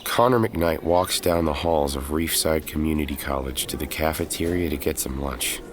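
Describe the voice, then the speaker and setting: Very deep-voiced male drama narrator. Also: moderate pace. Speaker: narrator and lead character. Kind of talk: scripted audio drama narration. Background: music.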